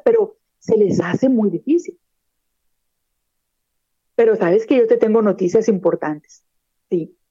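Mexican woman radio host, middle-aged, encouraging coach, mellow tone, dry muffled recording. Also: on the high side, no echo. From northern Mexico. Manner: earnest, encouraging, addresses listener directly. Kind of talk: motivational talk on live radio show.

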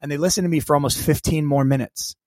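A man telling a personal story as a podcast guest, lively and acting out the voices of people in it. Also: fairly high pitch, fast talker, dry recording, clean studio sound. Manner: animated storyteller who acts out quoted dialogue. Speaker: guest, keynote speaker and coach.

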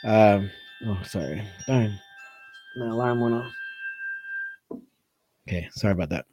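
Mellow, halting man chatting casually. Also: lowish voice, wildly swooping pitch, soft background music. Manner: halting, thinks aloud with repeated rephrasing. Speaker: catch wrestling coach hosting a live Q&A. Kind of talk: casual live chat answering viewer comments.

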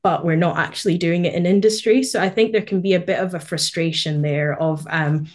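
A woman in her late twenties with a Scottish accent from Edinburgh, speaking as a podcast guest in a relaxed, thoughtful way on a remote call. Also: lowish voice, a little fast. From born in Malawi, raised in Scotland. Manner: thoughtful, with frequent fillers and light laughs. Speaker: podcast guest, quantity surveyor. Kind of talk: casual conversational interview.

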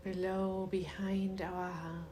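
Very slow, even-toned woman guiding meditation. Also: medium pitch, a little hiss or hum. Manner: soothing, unhurried, with long pauses. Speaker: meditation guide. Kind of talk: guided meditation.

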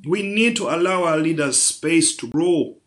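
A man's voice: a little high, lively intonation, measured pace, fairly bright tone. A man preaching a sermon, building through rhetorical questions to emphatic peaks.

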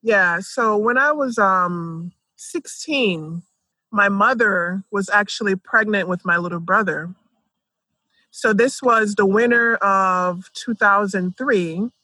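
A woman's voice: medium pitch, takes it slowly, bright, forceful tone, no room sound, low-quality recording. A woman, telling her life story conversationally and peppering it with "like" and "you know". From Jamaica and Philadelphia, United States. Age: 30s.

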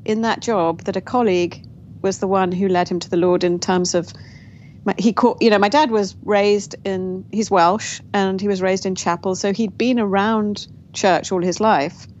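A woman's voice: medium pitch, fairly even intonation, moderate pace, a little mellow. A woman, a guest in an interview, telling her family story in a reflective way with frequent restarts. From England. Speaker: guest author. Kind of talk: interview conversation. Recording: dull lo-fi audio with faint noise.

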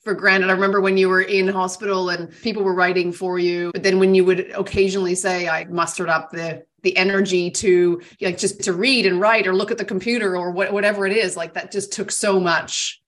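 A woman's voice: fairly low pitch, flat delivery, brisk, few pauses, a bit bright. A woman hosting an interview podcast, speaking with empathy and affirming her guest's experience. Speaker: podcast host. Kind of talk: casual interview chat.

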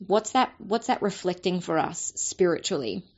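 A woman with an Australian accent, hoarse and raspy from a cold with a blocked nose, hosting a solo podcast episode in an earnest way, often repeating words as she finds her thought. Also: on the low side, lively intonation, normal speed, darkish tone, highs cut off. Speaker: podcast host and spiritual business coach.

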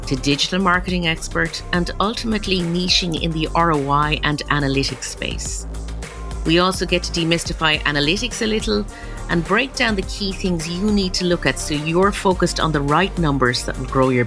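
A woman's voice: low voice, moderate pace, music in the background. A woman hosting a marketing podcast, introducing the show and chatting in a conversational interview style.

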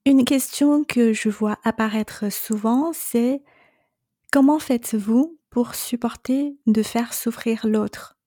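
Slow, mellow-voiced woman coach. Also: fairly high pitch, no echo, clean studio sound. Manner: calm, reassuring, speaks directly to the listener. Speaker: separation coach and podcast host. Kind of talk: solo coaching podcast monologue.

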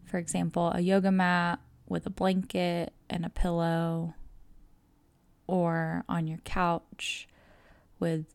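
Slow, low-voiced woman guiding meditation. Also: clean tone, no room sound. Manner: calm, measured, soothing instruction with long pauses. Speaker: yoga nidra guide. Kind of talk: guided meditation narration.

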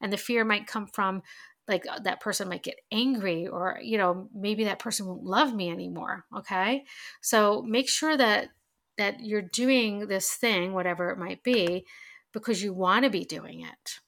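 A woman's voice: medium pitch, measured pace, vocal fry, fairly bright tone. A woman giving casual self-help advice to camera, chatty and full of fillers, her voice stuffed-up and nasal from allergies. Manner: chatty, many fillers and restarts.

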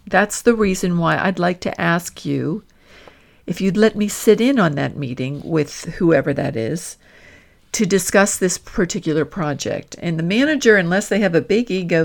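A woman's voice: fairly low pitch, vocal fry. A woman working as a career coach and host, explaining directly to the listener in an emphatic, instructional way, with role-played examples.

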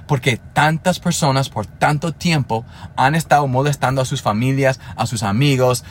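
A man's voice: medium pitch, a little hiss or hum. A man in his thirties, a native English speaker talking in Spanish, hosting a solo podcast in an earnest, motivational way with frequent restarts. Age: 30s. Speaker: podcast host.

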